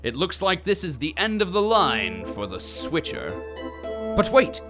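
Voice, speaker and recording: A man's voice: a little high, very animated, a little slow. A man playing a melodramatic radio serial announcer, delivering theatrical, urgent suspense in an old-time style. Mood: dramatic suspense. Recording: loud music behind voice.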